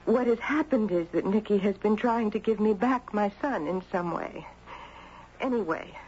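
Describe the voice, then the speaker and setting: An actress playing a guilt-ridden, grieving middle-aged mother in halting, confessional 1970s radio drama style. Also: mid-range voice, a little mellow, close to an everyday voice. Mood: anguished, remorseful. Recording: muffled, noisy 1970s radio recording.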